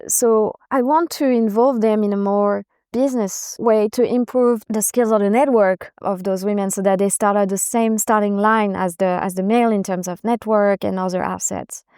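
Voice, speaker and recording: A woman speaking as a podcast guest, with a very smooth, clean voice and a soft, mellow tone. She answers in an earnest, measured way and sounds warmly enthusiastic. The recording is dry, with no room echo. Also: medium pitch, steady tone. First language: not Japanese. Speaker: podcast guest, venture investor. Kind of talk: conversational interview answers.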